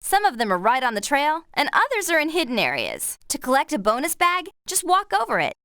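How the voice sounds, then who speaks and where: A woman's voice: high-pitched, wildly swooping pitch, fairly quick, sharp tone, no echo, studio quality, heavily acted. An actress playing a friendly, confident young woman who guides the player in a children's game, in a helpful, instructive manner. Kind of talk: scripted children's game voice acting.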